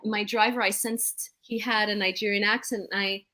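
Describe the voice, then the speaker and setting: A woman's voice: mid-range voice, fairly even intonation, moderate pace, pushed and bright. A woman guest singer chatting in a casual video-call interview, upbeat and breaking into song as she tells her story.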